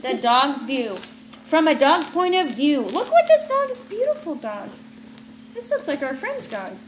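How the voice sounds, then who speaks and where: A woman in her thirties reads a children's book aloud in a high, very lively voice. She takes her time and slips into chatty asides with a child. The recording is made in a roomy, echoey space at home. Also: measured pace. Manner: expressive, teacherly reading that breaks off into playful asides. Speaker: parent reading aloud to a child. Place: indoors in an open room at home with high ceiling. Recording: roomy home recording with clear background noise.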